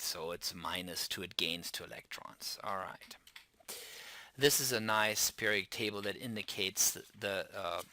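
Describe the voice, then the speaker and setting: A man's voice: fairly low pitch, sharp tone, dry recording. German-accented man giving a chemistry lecture. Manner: explanatory, with frequent restarts and spelled-out endings. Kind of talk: classroom lecture.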